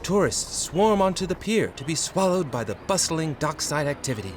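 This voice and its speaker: A man's voice: fairly high pitch, big pitch swings, normal speed, a little hiss or hum. A man giving theatrical, suspenseful narration as a storyteller reading a vintage-style horror comic in the second person. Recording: music behind the voice.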